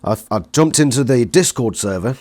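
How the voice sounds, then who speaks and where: A man's voice: mid-range voice, lively intonation, moderate pace, darkish tone, breathy edge. A man with a British accent, interviewing a guest in a casual, chatty style. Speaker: interviewer, channel host.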